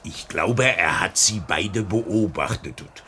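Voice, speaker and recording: An actor playing a chatty old lighthouse keeper in a radio crime drama, with a folksy, halting way of talking. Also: male voice, low-pitched, animated, pushed and bright, heavily acted. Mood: insistent. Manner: folksy, hesitant, repeating words. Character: old lighthouse keeper and seaman, bluff, chatty, whisky-drinking. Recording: old radio play with faint background noise.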